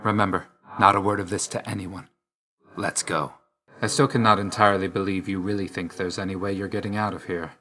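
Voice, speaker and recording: A man playing a grim, aloof god of death in a video game, terse and deadpan, stern yet grudgingly loyal. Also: low voice, fairly quick. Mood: dry, reserved. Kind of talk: scripted game character lines.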